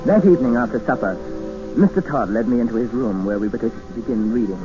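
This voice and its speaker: A man's voice: mid-range voice, fast, music in the background, close to an everyday voice. An actor playing a polite, uneasy male traveller in a 1950s radio drama, tentative and questioning. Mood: grave narration. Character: courteous, uneasy male traveller stranded in the jungle. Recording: muffled, hissy 1950s radio recording.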